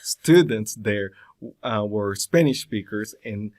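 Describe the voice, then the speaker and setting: A low-pitched man in his forties with a Brazilian Portuguese accent, speaking as an interview guest. He tells his story in a relaxed conversational way, pausing often and filling gaps with small hesitations. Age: 40s. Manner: thoughtful, many pauses and fillers.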